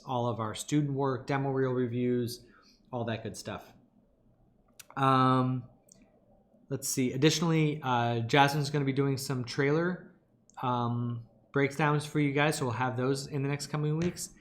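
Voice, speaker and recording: A man giving an informal online lecture as an instructor, his voice a little raspy and tired from talking, explaining things with emphasis on key points. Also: medium pitch. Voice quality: slightly raspy. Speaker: animation lighting instructor.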